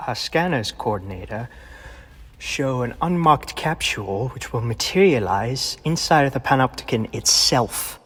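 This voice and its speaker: Man playing a husky, dutiful Time Lord agent. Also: mid-range voice, expressive, unhurried, a bit bright. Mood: urgent reporting. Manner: deferential, formal. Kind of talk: sci-fi audio drama acting. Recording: long hall reverb.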